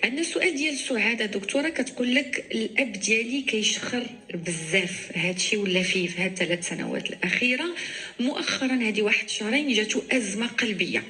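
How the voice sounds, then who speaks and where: A woman's voice: mid-range voice, fast talker, pushed and bright. A woman with a Moroccan accent hosting a radio call-in show, reading out listeners' questions to a doctor. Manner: reads out listener questions, short backchannels. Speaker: radio host.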